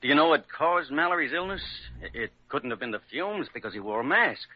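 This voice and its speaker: An actor playing an anxious, faltering site boss with a husky voice in a 1940s-style radio drama. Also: male voice, on the high side, expressive, brisk. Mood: contrite. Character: anxious male building-site boss fretting over his health. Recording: dull old radio recording.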